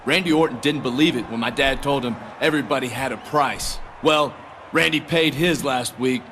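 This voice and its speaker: A man's voice: mid-range voice, moderate pace, fairly bright tone, a little hiss or hum, natural voice. A man playing a smug, entitled young wrestler in a video game, with a sly manner.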